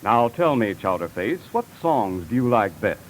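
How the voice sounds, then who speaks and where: An actor playing a bombastic defense lawyer with florid, theatrical pleading, in 1930s radio comedy style on an old recording. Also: male voice, fairly low pitch, very animated, moderate pace, low-quality recording. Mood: coaxing. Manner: florid, theatrical coaxing and oratory.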